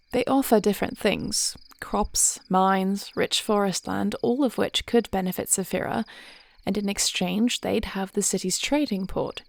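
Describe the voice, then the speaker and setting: A woman playing a devoted warrior princess in an intimate fantasy audio roleplay, speaking in measured, formal phrases with a reassuring, thoughtful manner. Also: medium pitch, gravelly, a little mellow. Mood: encouraging, then confident, reassuring. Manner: measured, articulate, formal old-fashioned phrasing.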